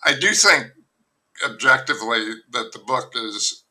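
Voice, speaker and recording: An older man, a novelist guest, talking in a rambling, wry way with many fillers and restarts in a relaxed podcast conversation. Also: mid-range voice, a little slow.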